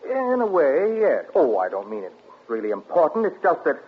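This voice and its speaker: An uneasy, suspicious young husband. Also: male voice, a little high, huge pitch swings, moderate pace. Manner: nervous, probing, forced casualness. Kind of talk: 1940s radio acting. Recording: muffled noisy 1940s radio broadcast.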